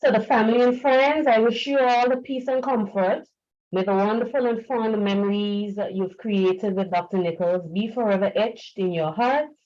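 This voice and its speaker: A woman giving a prepared, formal eulogy over a video call in an earnest, measured way. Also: a little high, fairly even intonation, a little mellow. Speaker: speaker at a memorial seminar. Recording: muffled video call audio.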